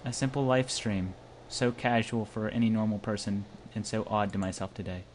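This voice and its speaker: A man's voice: lowish voice, steady tone, normal speed, darkish tone. A man co-hosting an amateur let's-play, reading visual novel narration aloud and adding joking asides. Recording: noisy home recording.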